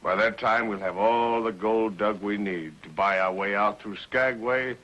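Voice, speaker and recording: Casting: sly frontier gold thief, rustic turns of phrase, forceful low-ish voice, dull vintage TV sound. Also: male voice, measured pace. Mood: smug. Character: sly outlaw gold thief posing as a folksy trapper. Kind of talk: 1950s television western acting.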